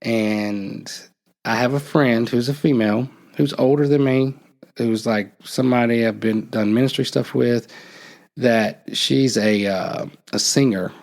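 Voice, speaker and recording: A man speaks in a gravelly, creaky voice at a middle pitch. He recounts a personal story on a podcast in a halting, reflective way, often trailing off mid-thought. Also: a little mellow. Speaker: podcast host.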